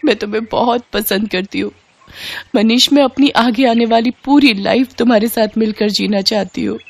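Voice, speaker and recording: A woman's voice: fairly high pitch, moderate pace, creaky. A woman voicing a polite, earnest young rich woman in an acted storybook dialogue. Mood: earnest, loving.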